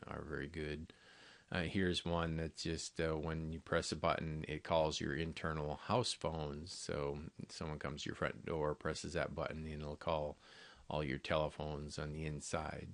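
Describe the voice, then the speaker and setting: A man's voice: very deep voice, gravelly, no room sound. A man giving an informal, plainspoken, unscripted walkthrough as a company product presenter, explaining each item.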